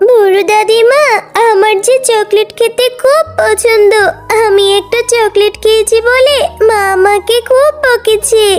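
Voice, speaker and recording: A woman's voice: very high-pitched, fairly even intonation, unhurried. A woman voicing a sweet, childlike little fox girl in a children's cartoon, eager and pleading. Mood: tearful, hurt. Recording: music behind the voice.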